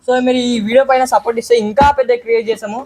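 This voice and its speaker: Fast, high-pitched Telangana Telugu man vlogger. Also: fairly even intonation, crowd noise. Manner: repetitive step-by-step explaining, informal. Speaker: host of a DIY experiments channel. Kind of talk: casual how-to vlog narration. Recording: echoey sound with music behind.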